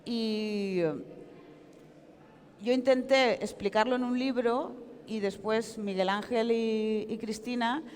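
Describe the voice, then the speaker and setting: A woman's voice: on the high side, steady tone, slow pace, darkish tone, a little hiss or hum. A woman with a Valencian Spanish accent, frankly and emphatically telling an account as a journalist and author being interviewed in public. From Valencia, Spain. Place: large reverberant hall at comic convention. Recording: echoey hall with long reverb.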